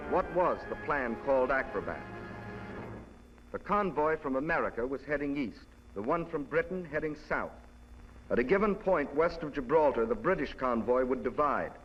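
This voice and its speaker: Formal male narrator, muffled vintage film sound. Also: medium pitch. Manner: formal, measured. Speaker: documentary narrator. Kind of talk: scripted 1940s military film narration. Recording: dull vintage film soundtrack, loud music.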